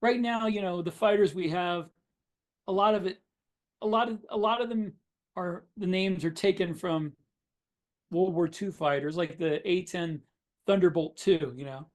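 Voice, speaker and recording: A man co-hosting a podcast, talking informally and haltingly, often repeating his words. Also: sits high. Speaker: podcast co-host, science fiction author. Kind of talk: casual podcast chat.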